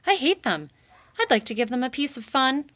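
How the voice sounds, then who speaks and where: A woman's voice: high voice, sing-song, normal speed, pitched above the performer's own voice. A woman voicing a hot-headed, nervous young male mouse in a storybook, snappish and defiant. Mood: resentful. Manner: sharp, snappish, indignant.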